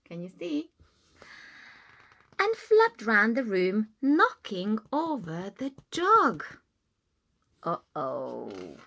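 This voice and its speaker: A woman's voice: medium pitch, wildly swooping pitch, extremely slow, creaky, pauses often, sharp tone. A woman reading a children's storybook aloud as a teacher, expressively, with simple explaining asides for young listeners.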